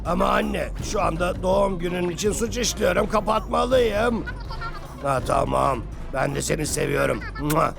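A scheming chimp supervillain, gloats in a high, deeply gravelly voice. Cartoon music plays behind him in a studio dub. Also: male voice, moderate pace. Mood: wheedling.

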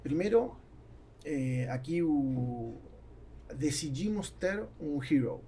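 A man's voice: on the high side, expressive, takes it slowly, warm tone. A man in his forties, a native Spanish speaker with an Argentine accent, talking casually as a podcast guest, searching for words and slipping Spanish into Portuguese. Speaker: guest entrepreneur. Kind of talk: casual podcast interview talk. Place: small padded podcast studio. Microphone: studio microphone on boom arm. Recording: close podcast mic with background noise.

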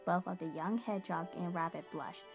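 A woman's voice: lowish voice, brisk, vocal fry. A woman reading scripted story narration aloud as a narrator. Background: music.

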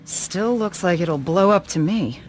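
A woman's voice: lowish voice, lively intonation, a little slow, soft background music. A woman playing a cool, serious alien assassin in a video game, dry and understated.

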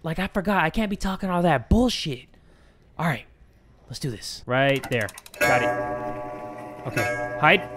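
A young man in a home room on a headset, hosting a horror game playthrough, swinging from loud yelling to hushed talk. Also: fairly high pitch, a little slow, long pauses, over loud music. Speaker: gaming video host. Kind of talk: energetic horror game commentary.

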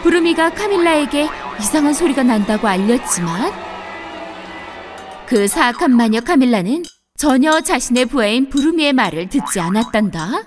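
A woman narrating a scripted children's fairy tale in an expressive storybook manner. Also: high-pitched, normal speed, over music.

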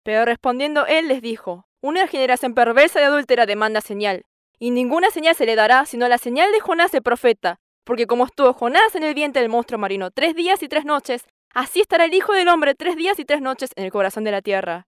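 A woman in her sixties gives an earnest church testimony on a studio stage, quoting scripture at length. Her voice is high and clear. The sound is dry, close and steady, from a lapel mic. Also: brisk, no room sound. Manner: earnest, quotes scripture at length and acts out dialogue with a raised voice. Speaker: farmer giving testimony.

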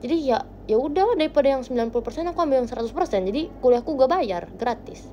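A woman's voice: high voice, animated, fast talker. Young woman, casual livestream chatter, phone held close, playful, slightly muffled sound, faint hiss. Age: young adult. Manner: chatty, playful, drifting between soft murmurs and bright bursts. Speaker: idol livestream host. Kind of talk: casual livestream chat with viewers. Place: small bright bedroom indoors. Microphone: phone camera close to face.

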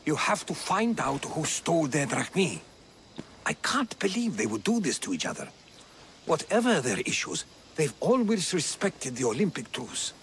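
An actor playing a learned, earnest Greek historian who explains things diplomatically in a video game. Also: male voice, on the high side, very animated, normal speed, fairly bright tone, slight background noise.

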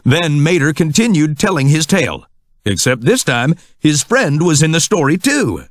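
Man for children's storybook narration: scripted, highly expressive pitch, close dry sound. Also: normal speed, dry recording.

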